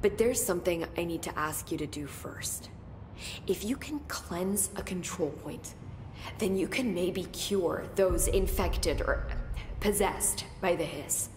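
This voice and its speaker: A jittery, chatty young lab assistant, slightly low voice, game cutscene. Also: female voice, slow pace, a little mellow, slight background noise. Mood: rattled. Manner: talkative, nervous rambling. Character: rattled, chatty young female research assistant. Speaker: research assistant. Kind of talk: video game cutscene acting.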